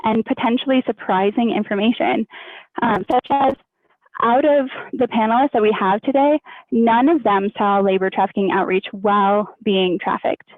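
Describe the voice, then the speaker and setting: A woman hosting and introducing an online panel discussion, phrasing things carefully and haltingly. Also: on the high side, no room sound. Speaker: panel host and moderator. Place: online video webinar. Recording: thin phone-like online call audio.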